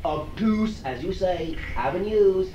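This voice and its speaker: A man voicing a channeled alien being, assured and teacherly, in deliberate halting phrases with formal, stilted wording. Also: sits high, expressive, dark tone, dull audio. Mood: instructive, encouraging. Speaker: channeler answering audience questions. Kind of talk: channeling session, question and answer. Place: echoey room, recorded from afar. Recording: echoey, noisy old cassette, distant mic.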